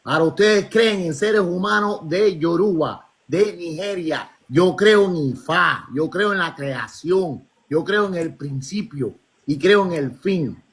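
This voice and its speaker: A man in a casual religious debate, talking emphatically with halting starts and repetition. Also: high voice, expressive, a bit bright, a little hiss or hum. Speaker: discussion participant.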